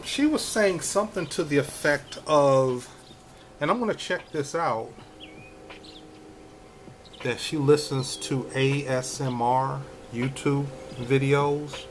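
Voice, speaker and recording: A man in his fifties with a soothing voice, vlogging casually from a hotel room, talking slowly with many restarts. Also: medium pitch, slight background noise. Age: 50s. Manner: slow, repetitive, many restarts and fillers. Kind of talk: casual vlog chat. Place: extended stay hotel room.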